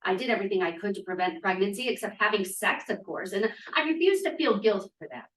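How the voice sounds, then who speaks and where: A woman's voice: mid-range voice, expressive, fast talker, close to an everyday voice. A woman playing a defiant, free-spirited drama student of twenty-two in a play table read, arguing emphatically and theatrically. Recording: roomy, echoey, distant microphone.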